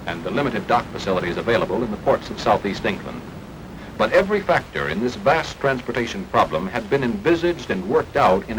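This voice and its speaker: An American man delivers formal wartime documentary narration in the 1940s style. His pitch is mid-range but moves in lively, emphatic swings. The sound is an old archival film track with some noise beneath the voice.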